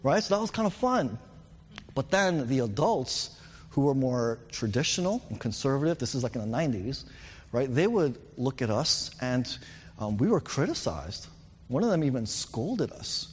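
A man's voice: medium pitch, sing-song, normal speed, breathy edge, long reverb tail. A middle-aged man with a New York accent, preaching a sermon as pastor and explaining with rising and falling emphasis.

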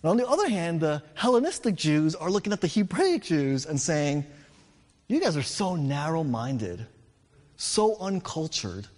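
A man's voice: fairly high pitch, darkish tone. Man, New York accent, middle-aged pastor, sermon, storytelling emphasis, ringing hall. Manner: explanatory storytelling, rising and falling emphasis.

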